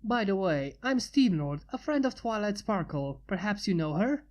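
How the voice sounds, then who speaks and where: A woman's voice: mid-range voice, animated, normal speed, darkish tone, lightly acted. A woman voicing a smug male inventor, plainly in her own voice. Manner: smug, mocking.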